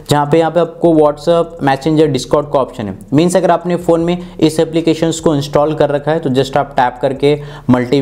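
Higher-pitched young Indian man, tech host. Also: mellow. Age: 20s. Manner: instructional, step-by-step. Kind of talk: explanatory phone feature walkthrough. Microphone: clip-on lapel mic.

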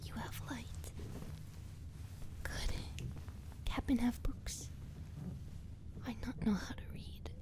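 A woman playing a clingy, loving skinwalker monster girlfriend in an ASMR roleplay, speaking soft, halting broken English and often whispering. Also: a little high, steady tone. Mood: cozy, affectionate. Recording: clear background ambient noise.

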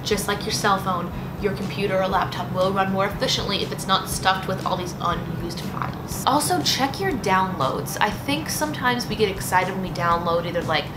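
A young woman vlogger talks straight to camera with a chatty, lively delivery and quick punchy asides. Her voice sits at a middle pitch and sounds roomy. Music plays clearly behind her. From United States. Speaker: lifestyle vlogger. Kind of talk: casual direct-to-camera advice. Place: small indoor room with bookshelf.

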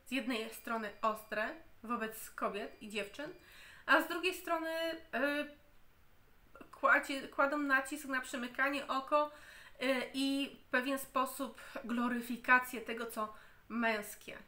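Slow, hesitant woman reviewing a book. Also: high voice, bright, forceful tone. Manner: slow and deliberate, with frequent hesitations and restarts. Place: home room, indoors.

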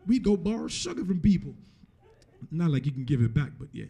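High-pitched, mellow man preaching. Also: big pitch swings, a little fast, vocal fry, slightly rough. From Jamaica, moved to the US at eleven. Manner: repeats and restarts phrases for emphasis, often tags points with "right?". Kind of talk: conversational sermon to a congregation. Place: church stage, indoors. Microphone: handheld microphone held close.

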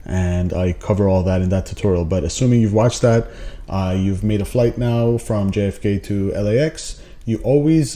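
Low, mellow-voiced man explaining casually. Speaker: tutorial host. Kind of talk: casual tutorial narration.

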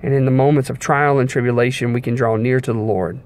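A young man giving an informal devotional sermon in an earnest, measured way, pausing between short phrases. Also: mid-range voice, fairly even intonation, a little mellow. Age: young adult. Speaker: preacher giving a devotional message. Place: inside a car. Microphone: phone at arm's length. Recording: clear background noise inside a car.

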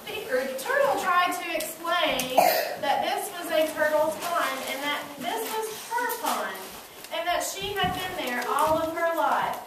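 High-voiced woman storyteller in an echoey room. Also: animated. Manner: deliberate, repeats words for emphasis. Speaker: narrator of a school puppet show, prompting child puppeteers. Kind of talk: storytelling narration for children. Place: classroom, recorded from the audience side. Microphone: distant camera microphone. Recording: echoey room, far mic, noisy.

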